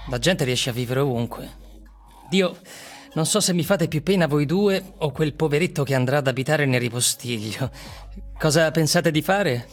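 An actor playing a frustrated, world-weary tenant in an Italian dystopian radio drama, with a sardonic manner. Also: male voice, a little high, moderate pace, soft background music. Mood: resigned. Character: John Ward, frustrated tenant in overcrowded dystopian city.

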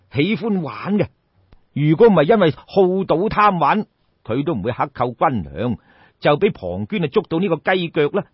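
A man's voice: fairly high pitch, breathy edge, no room sound, muffled recording. A man with a Cantonese accent telling a story in a colloquial, theatrical traditional oral style, addressing listeners directly. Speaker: storyteller, audiobook narrator.

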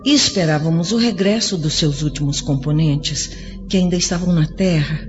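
Someone voicing calm gentle spirit friend explaining things, music under, muffled audio. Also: mid-range voice, moderate pace, close to an everyday voice. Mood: reassuring.

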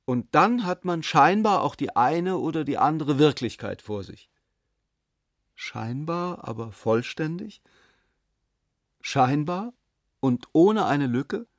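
A reflective questioning thinker. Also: male voice, mid-range voice, dry recording. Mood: searching. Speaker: actor in radio drama. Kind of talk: literary radio drama reading. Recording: dry close studio sound.

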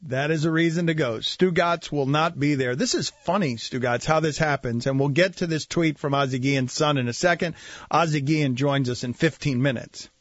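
High-voiced sports radio host. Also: male voice, no echo, lo-fi sound. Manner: quick, joking, argumentative. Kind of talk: sports talk radio banter.

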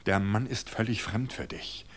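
A man's voice: on the low side, normal speed, natural voice. A man voicing a jealous, stammering young clerk. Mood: angry. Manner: stammering with rage. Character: jealous young male office clerk, upset.

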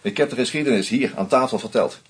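Man voicing sly screenwriter sleuth, husky voice, mid pitch, methodical, needling, radio play. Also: fast talker, close to an everyday voice. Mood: accusing. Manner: methodical, needling, dry irony. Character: shrewd male screenwriter unmasking a killer.

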